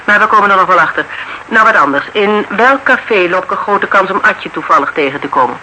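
A shrewd, wry woman in a radio drama, probing and clipped in her questions. The old recording has clear noise around her voice. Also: mid-range voice, lively intonation, a little fast, bright, forceful tone, low-quality recording. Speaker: shop owner. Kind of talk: naturalistic Dutch radio drama acting.

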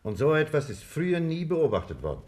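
A man's voice: a little high, huge pitch swings, a little fast, a little mellow. An actor playing a reflective, inquisitive man in a 1950s German radio drama, speaking in orderly, considered sentences. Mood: inquiring.

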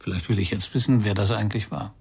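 A man's voice: on the low side, fairly even intonation, quick pace, gravelly, a little mellow, slightly rough, no echo, full character voice. An actor playing a world-weary male detective in a German radio crime drama, speaking in a laconic, pensive way. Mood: probing. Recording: 1991 radio play with music behind.

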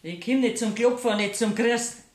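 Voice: mid-range voice, a little fast, close to an everyday voice. A performer playing a blunt, stubborn visitor with a Bavarian accent in a German radio play. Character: blunt, stubborn caller buying a corpse. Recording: echoey room.

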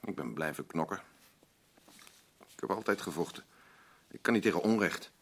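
An actor playing a blunt, rambling working-class husband in a radio drama, boastful, self-pitying and defensive. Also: male voice, low voice, expressive, normal speed, fairly bright tone, husky, full character voice. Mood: defensive, brooding. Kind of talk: naturalistic radio drama dialogue.

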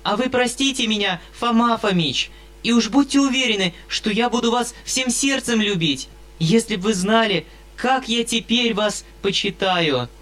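A man reading an audiobook, voicing an eager, repentant young girl who rushes through her apology. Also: high-pitched, a bit bright, voice pushed up.

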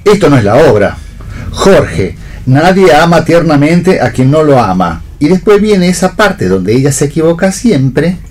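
A man plays a theatre prompter in a read radio play. He is pedantic and exasperated, in a rather high voice. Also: sing-song, a little slow, darkish tone. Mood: insistent. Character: fussy, exasperated male theatre prompter reading cues. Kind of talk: staged play reading for radio.

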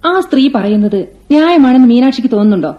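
Radio play: actress as a steadfast, motherly guardian, mid-pitched, lo-fi broadcast sound. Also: lively intonation, racing, natural voice. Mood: firm. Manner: firm, protective, motherly. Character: lonely, resolute woman guarding the family house. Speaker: radio drama actor. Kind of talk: 1980s Indian radio play acting. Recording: dull old radio recording with faint music.

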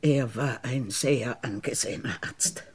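An actress playing a bitter, wronged convicted woman in a radio crime drama, deliberate and insinuating, with a husky low voice. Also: darkish tone, exaggerated voice. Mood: insinuating, resentful. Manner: deliberate, halting, insinuating, dropping into whispers. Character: bitter, wronged woman once convicted of murdering her sister.

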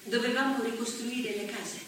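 An actress playing a woman politician recalling the postwar years in a slow, measured, solemn monologue on a stage. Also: on the high side, steady tone, fairly bright tone, lightly acted. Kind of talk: theatrical monologue. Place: theatre stage. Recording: echoey stage from far off, faint hiss.

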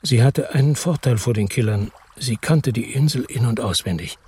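An actor playing a weary hard-boiled man who narrates his own crime story in a radio drama, terse, brooding and husky. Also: medium pitch, normal speed, dark tone, a little hiss or hum, lightly acted. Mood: grim, focused.

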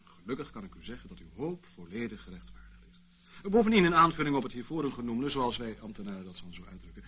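An actor playing a sarcastic, mock-polite intelligence official in a radio play, speaking dry, leisurely officialese. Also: male voice, medium pitch, very animated, fast, fairly bright tone, slightly rough. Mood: dry, sardonic. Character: wry, sarcastic male intelligence official, calmly toying with his captive. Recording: noisy old radio play recording.

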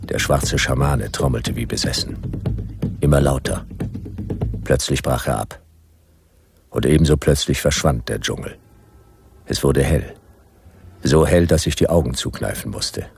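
An actor playing a laconic, world-weary detective, telling his story in a dry, deadpan, gravelly voice in a radio drama. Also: a bass voice, unhurried, long pauses, over music, full character voice. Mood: dry, brooding. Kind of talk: first-person radio drama narration.

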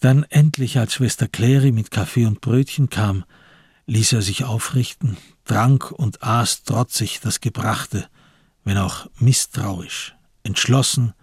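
A man narrating a literary radio drama in a measured, grave storytelling manner. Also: fairly low pitch, darkish tone, husky, no echo, clean studio sound.

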